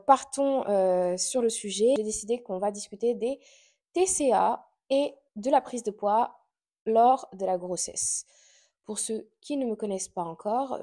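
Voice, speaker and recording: A woman's voice: medium pitch, dark tone. A woman in her late twenties, born and raised in Portugal, hosting a casual podcast and talking chattily and confidingly, with frequent fillers and little laughs. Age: late 20s. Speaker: podcast host. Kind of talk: casual personal chat to camera.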